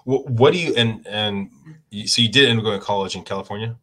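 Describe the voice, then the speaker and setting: A man with a somewhat low voice hosts a casual interview. He stops and restarts his questions and drops in short "mm-hmm" replies. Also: sharp tone. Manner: halting questions with restarts, brief backchannels. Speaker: interview host.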